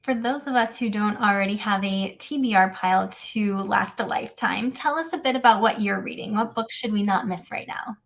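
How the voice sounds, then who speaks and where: A woman hosts a book podcast, her voice at an ordinary middle pitch. She reads her prepared questions briskly and plainly. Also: vocal fry. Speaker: podcast host. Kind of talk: scripted interview questions.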